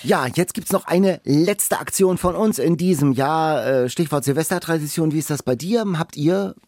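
A man's voice: a little high, moderate pace, a little mellow, dry recording, clean studio sound. A man chatting casually as a podcast co-host. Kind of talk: casual chat. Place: podcast studio.

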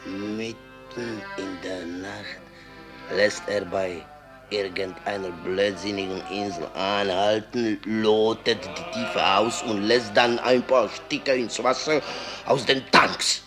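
An actor playing a hard-drinking, spooked sailor telling an eerie yarn in a theatrical, colloquial way, in a radio drama. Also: male voice, lowish voice, fairly even intonation, slow, music in the background. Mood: eerie, incredulous.

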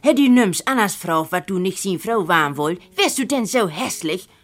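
A woman with a Low German accent reads a story aloud, acting out the dialogue. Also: lively intonation, normal speed. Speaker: reader of a literary story. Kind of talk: animated story reading with dialogue.

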